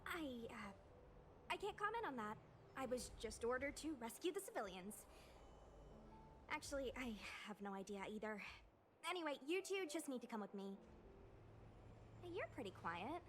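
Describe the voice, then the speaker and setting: A woman's voice: sits high, very animated, normal speed, pauses often, slight background noise. A woman playing an eager, scatterbrained young female knight in a video game, chatty and emphatic. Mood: flustered, brisk.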